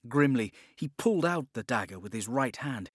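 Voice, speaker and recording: Man, audiobook narrator, scripted dramatic reading, mid pitch, animated intonation. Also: normal speed, breathy edge, no room sound, studio quality.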